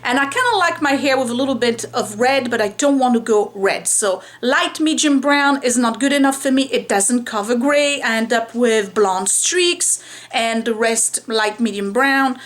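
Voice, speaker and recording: A woman's voice: on the high side, expressive, normal speed. A woman in her forties chatting candidly in a home vlog, rambling a little with fillers, filmed by a window at home. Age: 40s. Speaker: vlogger. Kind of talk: casual home hair-care vlog.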